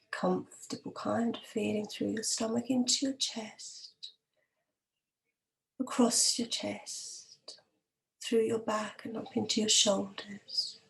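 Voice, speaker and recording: A woman leading a guided relaxation meditation as a hypnotherapist, calm, gentle and measured, with long pauses and a soft, sometimes breathy voice. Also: medium pitch, low-quality recording.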